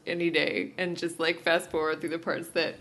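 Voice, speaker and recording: Low-pitched woman chatting on a podcast. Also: flat delivery, moderate pace, a bit bright. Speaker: podcast co-host. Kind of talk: casual TV recap chat.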